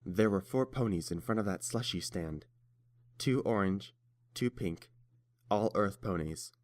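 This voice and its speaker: A man's voice: on the low side, a little slow. A man reading scripted story narration as the narrator of a fan fiction tale.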